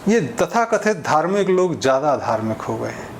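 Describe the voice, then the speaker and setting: High-pitched man giving informal commentary, some noise. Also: very animated, moderate pace. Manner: conversational, illustrates points with examples and imagined exchanges. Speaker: speaker giving social commentary. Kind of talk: informal opinion monologue.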